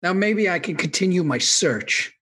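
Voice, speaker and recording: A man playing a greedy, sneering swamp villain with a rough, gravelly voice in a comic radio drama. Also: high-pitched, expressive, moderate pace, fairly bright tone, heavily acted. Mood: menacing, gloating. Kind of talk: comedic radio drama acting.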